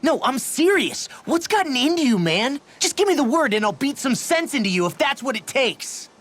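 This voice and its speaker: A man's voice: very high-pitched, sing-song, normal speed, fairly bright tone, full character voice. A man voicing a brash, hot-headed young fighter in a game, with a blunt, cocky, informal way of talking. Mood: frustrated, challenging. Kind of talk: dubbed anime-style game voice acting. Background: background music.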